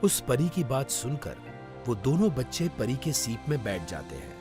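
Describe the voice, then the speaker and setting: A man's voice: mid-range voice, wildly swooping pitch, normal speed, over music. A man narrating a scripted children's story as its storyteller.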